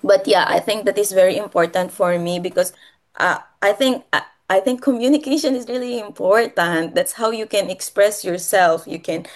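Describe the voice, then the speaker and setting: A woman from the Philippines with a Filipino accent and Filipino as her first language, talking casually and haltingly, often repeating and rephrasing her phrases. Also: medium pitch. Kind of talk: casual conversational personal talk.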